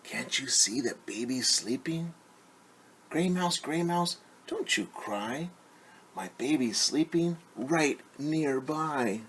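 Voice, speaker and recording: A man voicing an anxious mother from a storybook, pleading in a sing-song, drawn-out way with hushed shushing for quiet around her baby. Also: a little high, animated, a little hiss or hum, pitched above the performer's own voice. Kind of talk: storybook read-aloud, rhythmic refrain.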